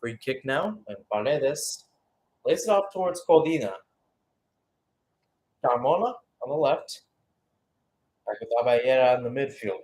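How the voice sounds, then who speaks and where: A man doing live radio-style play-by-play football commentary, rising with excitement on chances and settling calmer between plays. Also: mid-range voice, measured pace, pauses often.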